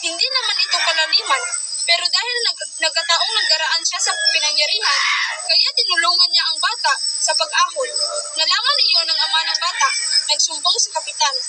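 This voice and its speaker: A woman narrates a puppet show from a script in a dramatic storytelling style. Her voice is extremely high, and music plays strongly behind her throughout. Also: distant mic, poor audio.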